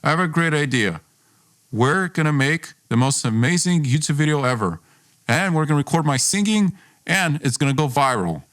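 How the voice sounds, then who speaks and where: A man's voice: on the high side, lively intonation, normal speed, fairly bright tone. A man voicing a domineering, self-important young man who belittles his friend in acted dialogue for a storytelling podcast. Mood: eager, boastful. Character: domineering, boastful, scornful young man, a former best friend.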